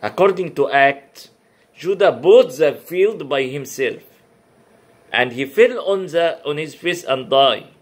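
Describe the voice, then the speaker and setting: A man whose first language is Arabic gives a religious lecture in careful, non-native English, pausing often with fillers and restarting words. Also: a little high, expressive. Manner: halting, many uh fillers and restarts. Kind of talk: comparative religion talk.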